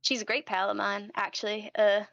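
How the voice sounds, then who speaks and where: A woman's voice: mid-range voice, little pitch movement, brisk, creaky, fairly bright tone, no echo, lo-fi sound. Giggly woman player, close dry sound. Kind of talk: casual improvised in-character chat.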